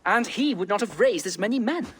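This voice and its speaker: A man's voice: sits high, lively intonation, a little fast, fairly bright tone. A man playing a proud, hot-headed young medieval king in a video game, earnest and dramatic. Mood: defensive, deferential. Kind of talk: video game cutscene acting. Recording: long reverb, faint noise.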